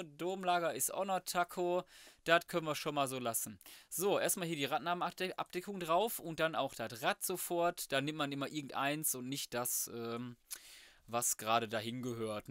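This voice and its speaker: Junger Deutscher, eher helle Stimmlage, locker plaudernd. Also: male voice, fairly high pitch, fairly bright tone, clear, resonant tone, no echo, studio quality. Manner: colloquial, slangy, muttering to himself. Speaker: let's player. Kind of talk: casual gameplay commentary, thinking aloud.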